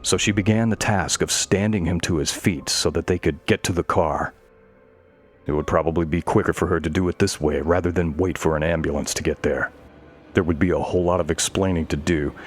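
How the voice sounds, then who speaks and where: A man tells a horror tale in a very low voice. His delivery is measured and dramatic, with each line read as scripted prose. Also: fairly even intonation, soft background music. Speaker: storyteller. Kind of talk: scripted horror story narration.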